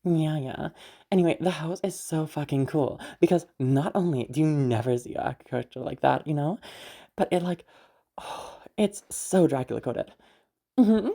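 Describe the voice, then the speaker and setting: A woman's voice: sits low, animated, normal speed, vocal fry, warm tone, full character voice. A woman playing a chatty, friendly, easily flustered escort in a roleplay audio drama, rambling informally and swearing casually. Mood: casual, enthusiastic. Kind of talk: roleplay audio drama, casual improvised-sounding monologue to the listener.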